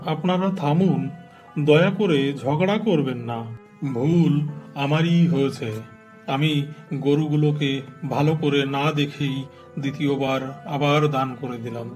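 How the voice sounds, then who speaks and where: An actor playing a generous, pious king in a cartoon, speaking courteously in stately, deliberate phrases. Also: a little high, darkish tone, lightly acted. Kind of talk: cartoon dialogue.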